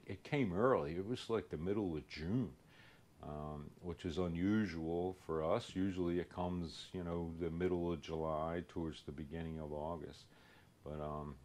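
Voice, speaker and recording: A man's voice: deep voice, darkish tone. A man with a Philadelphia accent, talking casually to camera as host of a home and lawn care show, plain-spoken and easygoing as he explains the job.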